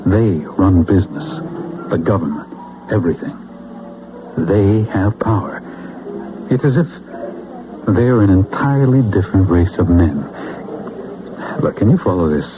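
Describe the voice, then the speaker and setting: A muffled old radio drama with music plays, and in it an intense American political zealot with a low voice. He is sure of his destiny and presses his case with grandiose, persuasive weight and deliberate pauses. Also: animated. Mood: intense, confiding.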